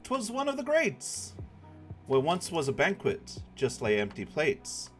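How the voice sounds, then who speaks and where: Male streamer, animated pitch, playful storybook reading and chat, faint music behind. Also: a little slow. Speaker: streamer hosting a group dramatic reading. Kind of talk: playful read-aloud with casual banter.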